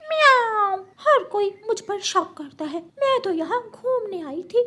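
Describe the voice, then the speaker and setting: Woman as greedy female cartoon cat, very high pitch, meowing, sly, falsely innocent. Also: animated, a little slow, bright, forceful tone, a little hiss or hum, heavily acted. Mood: wheedling, feigning innocence. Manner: meows between phrases. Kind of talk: children's cartoon voice acting.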